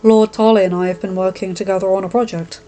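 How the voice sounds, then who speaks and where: A woman's voice: mid-range voice, steady tone, fast, warm tone, soft background music, natural voice. A woman voicing a terse young blacksmith man. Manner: terse, plain-spoken, matter-of-fact. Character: gruff young lowborn male blacksmith, reserved and blunt.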